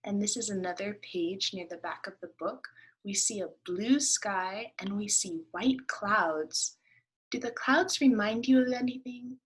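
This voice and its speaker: A woman's voice: medium pitch, measured pace, husky, poor audio. A woman teacher reading aloud to children, explaining as she goes and prompting listeners with questions.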